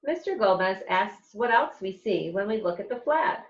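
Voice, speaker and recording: A woman with an American accent reads a children's picture book aloud as a teacher, expressively and deliberately, explaining as she goes. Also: mid-range voice, animated, reverberant, dull audio. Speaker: teacher reading aloud to second graders.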